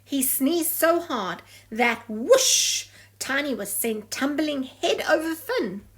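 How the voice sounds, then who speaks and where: A woman storyteller reading a picture book aloud in an expressive, dramatic, deliberate way. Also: high-pitched. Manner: dramatic, deliberate delivery with sound effects. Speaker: children's storyteller.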